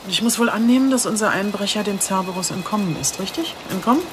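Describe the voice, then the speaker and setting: An actress playing a brisk, educated police investigator with a dry, businesslike manner in a radio drama. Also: female voice, medium pitch, slight background noise, lightly acted. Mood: probing.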